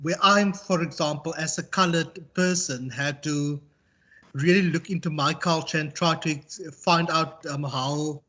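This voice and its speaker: Higher-pitched South African man, teaching informally. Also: fairly even intonation, unhurried, a bit bright. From Western Cape, South Africa. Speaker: university tutor. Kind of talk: informal online lecture and discussion.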